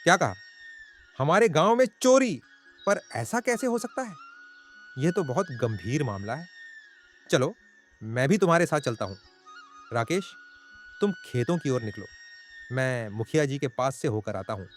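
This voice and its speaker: A man's voice: medium pitch, big pitch swings, moderate pace, long pauses, faint music behind, close to an everyday voice. A man playing a proud, bossy middle-aged village farmer in a dramatized moral story, scolding and exclaiming in colloquial talk. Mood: concerned.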